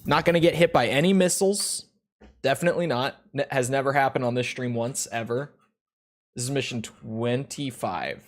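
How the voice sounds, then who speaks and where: A man chatting casually and jokingly to his live-stream viewers while playing a game, his voice a little rough. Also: mid-range voice, moderate pace, faint music behind. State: slightly rough voice. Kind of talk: casual live-stream chat over gameplay.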